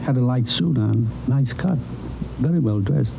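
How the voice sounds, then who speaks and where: A man's voice: medium pitch, animated, a little slow, creaky. A man playing a chatty, obliging shopkeeper in a 1950s radio crime drama. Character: helpful, chatty male pawn shop salesman. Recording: dull, faintly noisy vintage radio recording.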